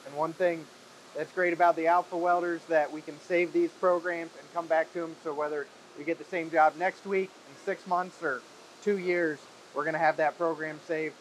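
A man hosts a workshop video in a fairly high voice. He sounds upbeat and conversational, casually presenting and asking questions. Some machinery noise sits faintly behind him. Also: fairly even intonation, normal speed, low-quality recording. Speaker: video host. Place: industrial workshop, indoors. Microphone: clip-on wireless mic on shirt. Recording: clear background noise in workshop.

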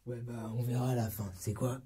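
A young man playing a cheeky, laid-back ex-con turned rookie barber in a comedy ASMR roleplay, soft-spoken and breathy, with slangy, hesitant talk. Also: medium pitch, flat delivery, a little fast, a little hiss or hum, close to an everyday voice. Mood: cheeky, salesy. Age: young adult. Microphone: close binaural microphone.

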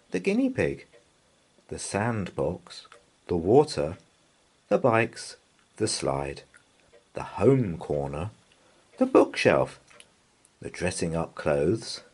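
A man reading a children's picture book aloud in a gentle, lively, sing-song way. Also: deep voice, breathy edge. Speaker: storybook reader.

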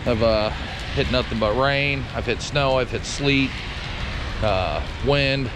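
A man's voice: mid-range voice, slow pace. A man on a motorcycle trip, vlogging casually from a roadside fuel stop. He rambles in an easygoing, folksy way. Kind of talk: casual spoken road vlog. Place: outdoors at a roadside fuel stop in pouring rain. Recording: rain and traffic noise behind.

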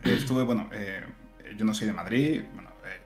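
A man's voice: lowish voice, moderate pace. A man in his thirties from southern Spain, chatting casually as a guest, laughing lightly and restarting his sentences. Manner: relaxed, chuckling, many fillers and restarts. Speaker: guest software engineer and teacher. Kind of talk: casual interview chat. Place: room at home. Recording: echoey room, faint music.

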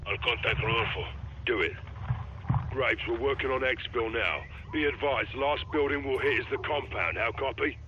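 A man's voice: medium pitch, normal speed, a little hiss or hum, close to an everyday voice. A man acting a hard, profane special forces field operator, giving terse radio callouts in a combat game. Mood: gruff, tense. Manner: terse, commanding, clipped callouts. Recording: muffled radio amid loud gunfire and vehicles.

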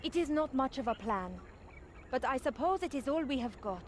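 Heroine: high-pitched, wary, earnest young adventurer for a fantasy game. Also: female voice, lively intonation, normal speed, a little hiss or hum. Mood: doubtful. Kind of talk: video game voice acting.

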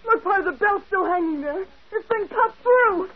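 An actress playing a hysterical, grieving young daughter, crying and screaming in an old radio drama. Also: female voice, very high-pitched, expressive, moderate pace. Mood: frantic grief. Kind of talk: 1940s radio drama acting. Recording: thin, muffled old radio broadcast.

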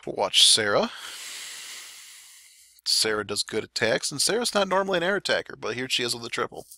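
Male game commentator, casual play-by-play, slightly dry throat, bright tone, dead-dry recording. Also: mid-range voice, brisk, no echo. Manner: relaxed, chatty play-by-play. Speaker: clan war recap commentator. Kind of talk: gameplay commentary over replays.

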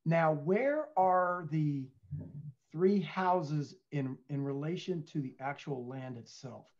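A man's voice: on the high side, slow, a little mellow. A man in his fifties discussing business casually as a panelist on a show, over a video call. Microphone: headset on video call. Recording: dull, lo-fi video call audio.

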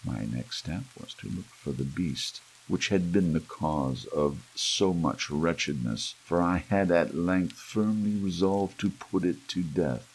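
A man with a gravelly, husky voice reads a horror story aloud in a theatrical, brooding ASMR audiobook style, with emphatic swells. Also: low voice, measured pace, hardly any pauses, rough recording. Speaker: audiobook reader. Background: soft rain.

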